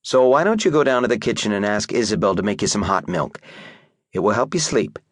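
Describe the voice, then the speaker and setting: A man voicing a sharp-tongued female nurse in an audiobook, brisk and scolding. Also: lowish voice, animated, dry recording, studio quality, natural voice.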